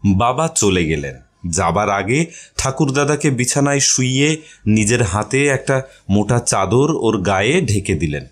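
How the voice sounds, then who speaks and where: A man's voice: on the low side, lively intonation, unhurried, a little hiss or hum. A man narrating a short story as a scripted audio reading.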